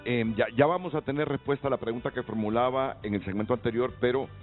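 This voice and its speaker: TV host on a tinny line. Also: male voice, medium pitch, fast, faint music behind. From Honduras. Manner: questioning, hesitant restarts, invites opinions. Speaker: TV talk show host. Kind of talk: moderating a panel discussion. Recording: tinny lo-fi broadcast audio.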